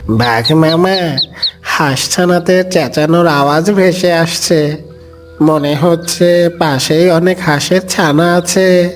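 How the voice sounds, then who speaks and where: A man's voice: a little high, slow, faint music behind. A man voicing a sly, fawning cartoon fox with a drawling, scheming manner.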